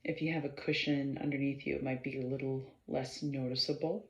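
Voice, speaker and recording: A woman leading a guided meditation in a measured, halting way, pausing between short phrases and often clearing her throat. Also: a bass voice, flat delivery. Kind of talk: slow guided meditation instruction. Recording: roomy, slightly dull sound.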